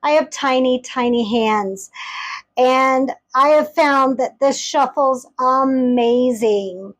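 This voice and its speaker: Woman, high voice, slow unhurried delivery, enthusiastic, chatty, casual review. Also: fairly bright tone. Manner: gushing, enthusiastic; chatty, addresses viewers directly. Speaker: tarot deck reviewer.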